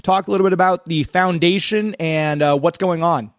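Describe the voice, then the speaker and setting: A male radio host with a fairly high voice and a close, dry sound. He speaks in an upbeat interviewing style, introducing and questioning guests. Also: moderate pace, no echo, muffled recording.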